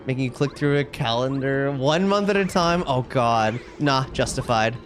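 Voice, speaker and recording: A man's voice: medium pitch, lively intonation, moderate pace, soft background music. A man livestreaming a video game, chatting casually with viewers and commenting on play in a wry, ranting way.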